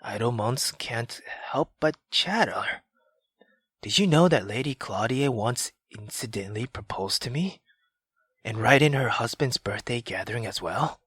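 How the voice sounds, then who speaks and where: A weary aristocratic husband from a visual novel, acted by a woman, pitched low and held back. He sounds exasperated and defends himself with strained restraint, close and dry. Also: animated, unhurried, a bit bright, husky, no echo, heavily acted. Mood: defensive, strained.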